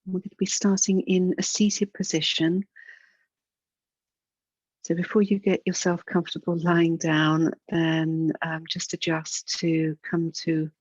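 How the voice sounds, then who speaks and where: A woman instructor leading a guided yoga relaxation and breathing practice in a soothing, unhurried, gentle manner with pauses. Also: deep voice, no room sound, muffled recording.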